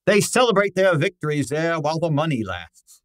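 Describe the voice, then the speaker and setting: A man's voice: fairly high pitch, big pitch swings, moderate pace, no echo, studio quality. A man playing a large, pompous, irritable genius detective in an old time radio style drama, speaking formally and with exasperation. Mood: stern, imperious. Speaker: actor in an audio detective drama.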